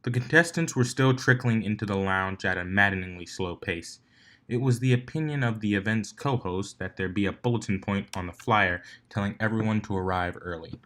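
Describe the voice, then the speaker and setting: A man's voice: fairly low pitch, brisk, a bit bright. A man narrating a written fan fiction story as its reader.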